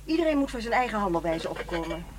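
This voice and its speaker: A woman playing a brisk, unsentimental middle-aged ex-wife in a radio drama, matter-of-fact and dismissive. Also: mid-range voice, very animated, close to an everyday voice.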